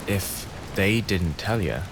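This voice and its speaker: Low, sombre young soldier. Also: male voice, animated, takes it slowly, noisy background. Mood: mournful. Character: sombre, hesitant young soldier mourning his friend. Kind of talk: game cutscene acting.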